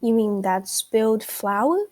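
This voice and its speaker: A woman's voice: on the high side, lively intonation, slow. A woman voicing a fussy, emphatic owl in a children's storybook, often questioning. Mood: emphatic, surprised. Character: fussy, emphatic owl witness at a cake contest.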